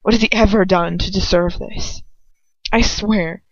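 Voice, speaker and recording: A woman reads a story aloud with earnest, dramatic feeling. Her voice sits at a medium pitch, captured up close on a dry, slightly muffled home recording. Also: steady tone, measured pace, no room sound. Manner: earnest, emotive reading. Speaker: amateur audiobook reader. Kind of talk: dramatic first-person fiction narration.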